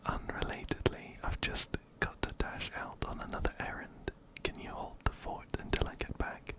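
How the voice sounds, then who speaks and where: A man whispering breathily and gently, very close to a binaural microphone, as he narrates a game and reads its text aloud. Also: normal speed. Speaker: ASMR video host. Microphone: close-up binaural microphone, ear to ear.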